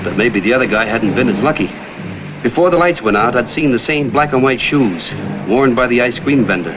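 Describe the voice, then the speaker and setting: Guy playing old-school american detective, deadpan and wisecracking, dull noisy vintage film sound. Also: mid-range voice, a little fast, close to an everyday voice. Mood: terse narration. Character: cool, wisecracking male private investigator, guarded and brisk. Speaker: actor playing detective lead and narrator. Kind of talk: vintage American detective drama acting with noir narration.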